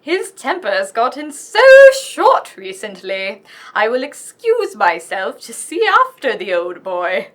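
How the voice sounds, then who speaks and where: A woman's voice: mid-range voice, sing-song, slow pace, fairly bright tone, heavily acted. A woman playing a colonel's long-suffering wife in a radio play, patient and drily soothing. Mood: exasperated. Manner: dry, patient.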